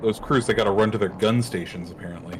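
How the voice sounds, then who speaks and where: A man's voice: on the low side, quick pace, background noise. A man hosting a game livestream, commenting in a laid-back, wry way. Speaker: streamer host. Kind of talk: casual gameplay commentary.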